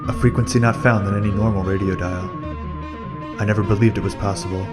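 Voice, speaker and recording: A man's voice: lowish voice, steady tone, quick pace, close to an everyday voice. A man playing a mysterious narrator of uncanny tales, giving solemn, ominous scripted narration for an audio drama. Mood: ominous, hushed. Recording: loud guitar music under voice.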